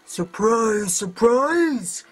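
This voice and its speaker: A woman's voice: fairly high pitch, expressive, very slow, slightly rough, voice pushed up, heavily acted. A woman voicing a gloating skeletal henchman with a taunting manner in a storybook tale. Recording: music under the voice.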